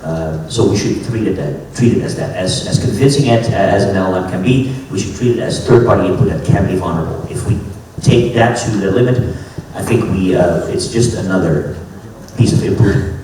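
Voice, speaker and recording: A man on a conference panel, giving advice in a thoughtful, halting way. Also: low voice, mellow, slight background noise. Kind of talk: panel discussion. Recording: very echoey hall, distant mic, faint ambience.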